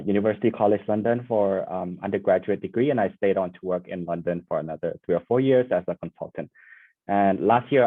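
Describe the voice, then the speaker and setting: A man from Thailand, a student panelist introducing himself on a video call in a relaxed way, with fillers like um and like. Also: deep voice, dry recording. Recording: tinny dry phone-like call audio.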